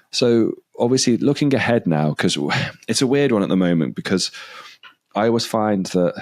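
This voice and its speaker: Really deep-voiced guy hosting a podcast, chatty and rambly, says like a lot, dry close sound. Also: a little mellow, no room sound. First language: English. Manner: chatty, loose, fills with "like" and repeats himself. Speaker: podcast host. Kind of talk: casual interview chat.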